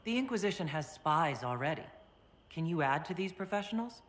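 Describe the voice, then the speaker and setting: A woman voicing a composed, questioning warrior leader in a video game, measured and direct. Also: lowish voice, sing-song.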